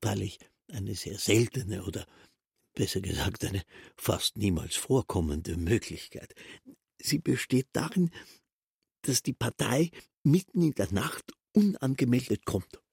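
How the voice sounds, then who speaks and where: A musing male secretary in a literary radio play, circling pedantically through his explanations. His voice is lowish and badly frayed with rasp, recorded dry and close. He is deliberate and long-winded. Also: lively intonation, a little mellow, dry recording, heavily acted. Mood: musing, explanatory. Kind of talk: German literary radio drama acting.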